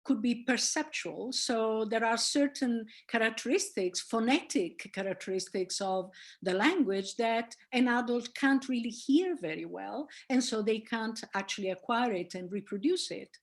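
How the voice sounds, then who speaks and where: Woman, professor, public lecture, explanatory, fillers, fairly high-pitched. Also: lively intonation, normal speed. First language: Italian. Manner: explanatory, with frequent fillers and restarts. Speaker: linguistics professor.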